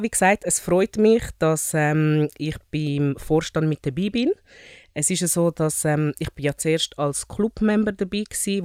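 A woman with a Swiss German accent, chatting as a podcast guest and often pausing on fillers. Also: sits low, darkish tone, no room sound, clean studio sound. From Switzerland, born in Zurich. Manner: chatty, frequent hesitation fillers. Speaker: podcast guest, new board member. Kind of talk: casual interview chat.

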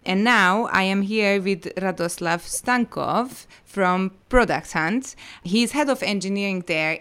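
A woman's voice: mid-range voice, a bit bright, smooth. A woman hosting an interview podcast, reading friendly introductions and asking questions with slight hesitation. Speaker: podcast host.

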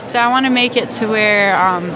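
A young university student from Montreal, speaking sincerely in a vox pop interview. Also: female voice, mid-range voice, normal speed, low-quality recording. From Montreal, Canada. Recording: outdoor event with crowd chatter. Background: crowd chatter.

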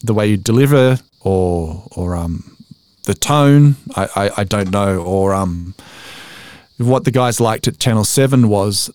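A man's voice: lowish voice, a little slow, darkish tone, no echo. Self-deprecating Australian man, close dry sound. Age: 40s. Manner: chatty, self-deprecating, tells anecdotes with acted-out quotes. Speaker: voice-over artist being interviewed. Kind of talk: casual podcast interview.

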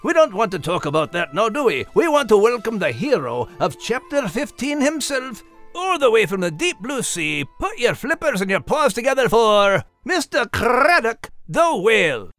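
A man voicing a brisk, grumpy male Scottish terrier who hosts a show, with a Scottish accent and scripted comic banter. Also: very high-pitched, very animated, fairly bright tone, faint music behind, exaggerated voice. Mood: brisk, flustered. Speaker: host.

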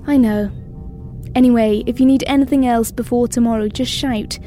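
Actress playing lady astronaut doctor, highish soft tone, precise and caring, sci-fi audio drama. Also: fairly even intonation, normal speed, soft background music, lightly acted. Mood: calm, caring. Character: precise, caring London female scientist astronaut.

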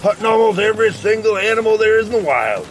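An actor playing a gruff, boastful older hunter and former harpooner in a western audio drama, folksy and self-assured. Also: male voice, high-pitched, very animated, fast, over music, rough recording. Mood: proud, storytelling.

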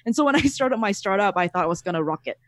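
A man in his thirties from Singapore with a Singaporean accent, chatting casually as a podcast guest over a video call, animated and quick to laugh. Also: sits high, dry recording, clean studio sound. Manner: animated, laughs often, repeats words. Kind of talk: casual interview chat.

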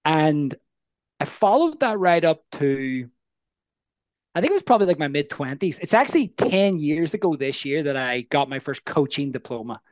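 A man in his thirties with a Northern Irish accent, telling his story as a coaching guest in an earnest, energetic way. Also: a little high, normal speed, no echo. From Northern Ireland. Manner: energetic, earnest, asks the listener questions. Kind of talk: casual interview storytelling.

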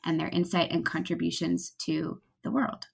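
A woman with a voice a little lower than average co-hosts a podcast. Her delivery is that of scripted introductory narration. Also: level tone, normal speed, creaky, husky. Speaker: podcast co-host.

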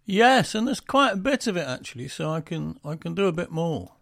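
A man's voice: on the high side, very animated, fairly quick, vocal fry, a bit bright, no room sound, clean studio sound. A man co-hosting a casual podcast, rambling with lots of ums and self-deprecating asides. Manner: breaks into quoted voices for jokes. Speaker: musician and podcast co-host.

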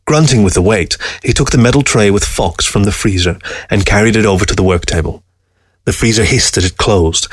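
A man's voice: fairly low pitch, dry recording. Male story narrator, dry close sound. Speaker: narrator reading a short story. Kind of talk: scripted literary narration.

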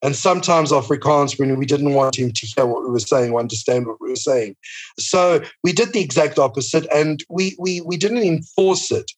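Middle-aged man, slightly higher voice, reflective, earnest, interview guest. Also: rough recording. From South Africa. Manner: reflective, earnest, speaks in long, unhurried sentences. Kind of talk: conversational interview.

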